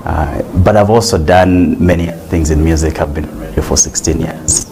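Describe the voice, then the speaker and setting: A man's voice: a bass voice, normal speed, noisy background. A man in his forties with a Ugandan English accent, a technology executive talking easily about himself as an interview guest. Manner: relaxed, self-aware, with little restarts. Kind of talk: interview conversation.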